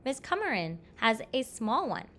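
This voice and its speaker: A woman's voice: a little high, very animated, moderate pace, sharp tone, slight background noise. A woman voicing a curious young storybook child who asks eager, plaintive questions.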